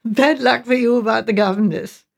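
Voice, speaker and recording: A spirited young English sister from an audiobook novel, as a woman reader plays her. She is outspoken, chatty and mischievous, speaking with emphasis. The voice is fairly high and noticeably creaky. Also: moderate pace. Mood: teasing, mischievous.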